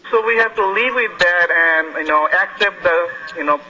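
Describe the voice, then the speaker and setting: High, sharp-voiced Indian man on a phone line. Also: moderate pace. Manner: hesitant, polite, roundabout. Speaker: phone-in caller. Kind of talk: live phone-in discussion. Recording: muffled phone line with faint noise.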